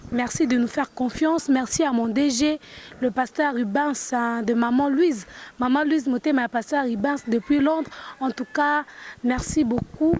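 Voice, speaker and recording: Woman, high voice, Congolese accent, live event interviewer, hesitant, repetitive phrasing, light background noise. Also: normal speed, darkish tone. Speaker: interviewer presenting a live TV report. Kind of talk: unscripted live event presenting. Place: busy book festival venue. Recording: noisy event ambience. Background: event noise and ambience.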